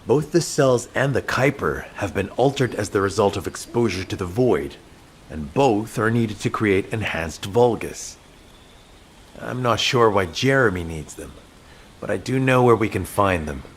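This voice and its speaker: An actor playing a tense, commanding military mission officer, earnest and authoritative in scripted game dialogue. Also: male voice, lowish voice, animated, measured pace, slight background noise. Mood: grave, businesslike. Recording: music behind the voice.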